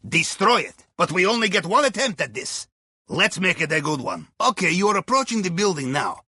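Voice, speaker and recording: An actor playing a wry, courteous Russian submarine pilot, advising on missions in a video game with a Russian accent and clipped grammar. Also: male voice, high voice, animated, brisk, pushed and bright, breathy edge, no echo, studio quality, full character voice. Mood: focused, advising. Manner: wry, deferential, clipped grammar with dropped articles. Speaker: mission handler on comms. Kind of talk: scripted video game dialogue.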